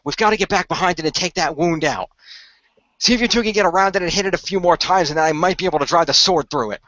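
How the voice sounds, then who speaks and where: Radio play hero, man acting composed leader with a sword, formal and measured, forceful bright tone on a dull dry recording. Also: high-pitched, no echo, pitched above the performer's own voice. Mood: approving. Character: composed sword-wielding hero, commanding and encouraging young allies.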